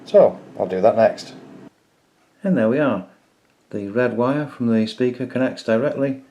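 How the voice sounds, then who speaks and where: A man's voice: mid-range voice, a little slow, mellow, low-quality recording. A man hosting a casual DIY electronics tutorial, explaining each step in a plain-spoken, informal way. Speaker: DIY electronics video host.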